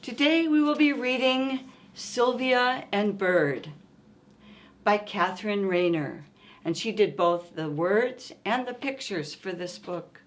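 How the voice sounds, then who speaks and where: A woman hosting a storytime, reading a picture book aloud to young children in an expressive, coaxing way and asking them questions. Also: mid-range voice, slow.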